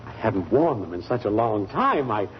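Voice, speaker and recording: A man's voice: fairly low pitch, very animated, normal speed. An American man acting a sheepish, flustered middle-aged father in a 1940s radio comedy, hesitant and blustery. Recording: noisy, muffled 1940s radio recording.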